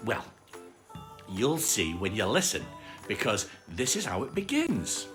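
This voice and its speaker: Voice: medium pitch, wildly swooping pitch, unhurried, music in the background. A storyteller voicing a cheerful, muddled toy bear, earnest and singsong, from a children's storybook.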